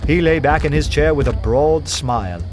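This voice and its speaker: A man playing an earnest, poetic detective who narrates his strange adventure in a radio play with measured, literary wonder. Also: on the high side, animated, close to an everyday voice. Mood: wonderstruck. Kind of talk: dramatic first-person radio play narration. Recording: loud music under the voice. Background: music.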